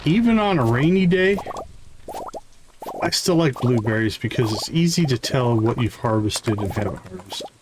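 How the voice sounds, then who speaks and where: A man's voice: mid-range voice, expressive, normal speed, noisy background. A man giving casual live game commentary, thinking aloud in short fragments. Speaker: game streamer.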